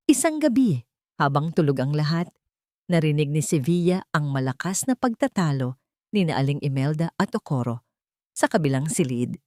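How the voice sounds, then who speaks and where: A woman's voice: sits low, sing-song, normal speed, dark tone, no room sound, studio quality. A woman reading a scripted dramatic story as narrator.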